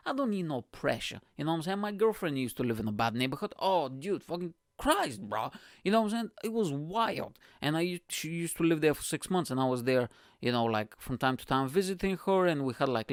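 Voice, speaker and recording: A man's voice: a little high, no room sound, studio quality. Profane, rambling young man. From Bulgaria. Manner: profane, rambling, keeps asking "you know what I'm saying". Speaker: podcast host. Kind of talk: casual comedic monologue.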